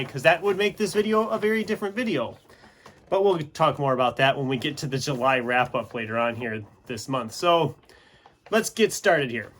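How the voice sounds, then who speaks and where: A man's voice: fairly high pitch, a bit bright. A man talking casually and unscripted as a book video host, rambling and often interrupting himself in a conversational way. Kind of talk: casual unscripted chat to camera.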